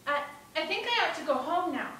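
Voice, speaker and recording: A woman's voice: extremely high pitch, expressive, brisk, bright, forceful tone, faint music behind, pitched above the performer's own voice, full character voice. A woman voicing a naive, impatient young boy in an earnest, emphatic dramatic storytelling performance. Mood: apologetic, earnest. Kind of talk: dramatic storytelling for speech competition. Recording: echoey room, distant mic, faint noise.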